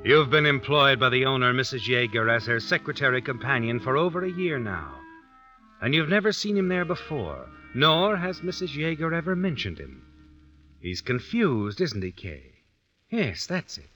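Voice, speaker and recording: An actor playing an ominous, all-knowing storyteller, narrating an old-time radio mystery in a suspenseful, insinuating manner. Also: male voice, mid-range voice, big pitch swings, normal speed, bright, forceful tone. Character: ominous, all-knowing shadowy storyteller who walks by night. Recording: muffled vintage radio recording. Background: music.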